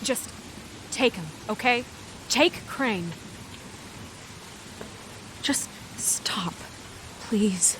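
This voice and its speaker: An actress playing an earnest young woman in distress in a video game, halting and emotional. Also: fairly high pitch, expressive, in a whisper. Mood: desperate pleading. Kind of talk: dramatic video game voice acting. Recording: rain and thunder noise.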